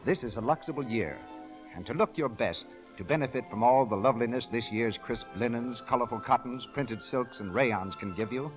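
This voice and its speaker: An American man announcing on a 1930s radio show, polished and emphatic. His pitch moves around a lot as he reads sponsor copy, with faint music on the vintage recording. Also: rough recording.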